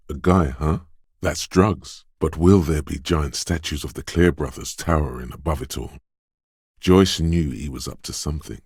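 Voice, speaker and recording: An actor playing a detective's quick-witted, suspicious inner voice of intuition in a video game, narrating in a measured, knowing, urging manner. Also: male voice, darkish tone, dry recording, clean studio sound, full character voice. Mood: musing, suspicious. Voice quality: very deep, smooth, resonant. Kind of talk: scripted game narration, second-person inner voice.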